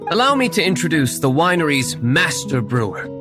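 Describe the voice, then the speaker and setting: An actor playing a composed, shrewd young gentleman winery owner in a video game, formal and measured, with music behind him. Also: fairly high pitch, big pitch swings. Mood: explaining. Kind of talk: video game character acting.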